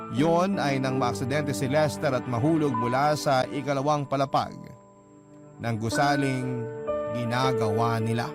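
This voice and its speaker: A man reading a listener's letter aloud as scripted radio-drama narration, earnest and measured. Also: medium pitch. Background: loud background music.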